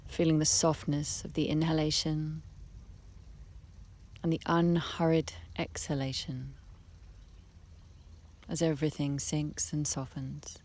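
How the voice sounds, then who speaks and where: Very slow, soft-voiced woman guiding relaxation. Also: sits low, little pitch movement. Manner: gentle, unhurried, long pauses. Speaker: guided relaxation teacher. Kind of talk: guided meditation, soothing slow instruction. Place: outdoors beside a harbour. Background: birdsong, trickling stream, breeze.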